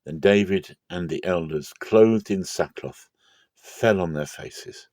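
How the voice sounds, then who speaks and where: A man giving a solemn, deliberate dramatic reading of scripture as a narrator. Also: low-pitched, darkish tone, no echo, studio quality. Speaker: scripture reader.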